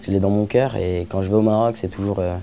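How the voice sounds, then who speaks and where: A man's voice: on the low side, steady tone, fast, dark tone, slight background noise. A young man, the artist's son, speaking casually in an interview with hesitant fillers.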